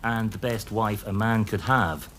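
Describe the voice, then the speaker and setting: An actor playing a cautious, kindly gentleman in a period audio drama, polite and considered in his speech. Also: lowish voice, a little slow, slight background noise. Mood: puzzled, concerned. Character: cautious, kindly Scottish gentleman, loyal friend.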